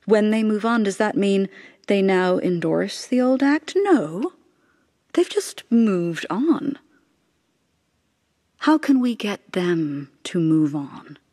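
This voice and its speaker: A woman's voice: medium pitch, lively intonation, slow, mellow. Intense, devout mother in anguish. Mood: bitter, defiant. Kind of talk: radio drama acting.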